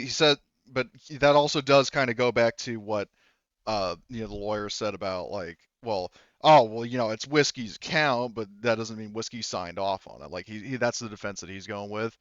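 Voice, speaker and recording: A man running a tabletop roleplaying game as game master, explaining casually and haltingly, often repeating and rephrasing himself. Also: mid-range voice, fairly bright tone, clean tone, dry recording, highs cut off.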